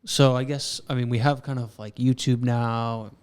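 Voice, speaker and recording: A man's voice: medium pitch, steady tone, moderate pace, a little mellow, clear, resonant tone. A young man in his twenties co-hosting a casual podcast, rambling with lots of fillers. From South Florida. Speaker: podcast co-host. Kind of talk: casual chat.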